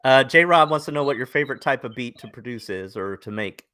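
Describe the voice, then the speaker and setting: A man's voice: mid-range voice, expressive, fairly quick, pushed and bright, smooth. A man hosting a casual interview show, relaying viewer questions in an easygoing way. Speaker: show host reading chat questions.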